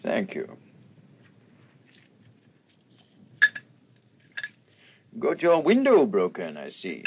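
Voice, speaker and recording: An actor playing a courteous, self-assured gentleman detective in a radio crime drama, with formal, theatrical manners. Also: fairly low pitch, wildly swooping pitch, normal speed. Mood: courteous, curious. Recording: dull recording with faint noise.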